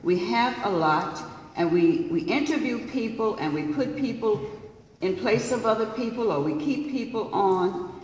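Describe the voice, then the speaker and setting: A woman on a city council makes her case in a measured, insistent way, sticking to procedure. She sounds distant, heard from far across a big, boomy chamber. A long reverb trails her words. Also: darkish tone, slight background noise. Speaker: council member. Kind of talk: formal public meeting remarks. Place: large council chamber with wood panels. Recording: echoey hall from far off.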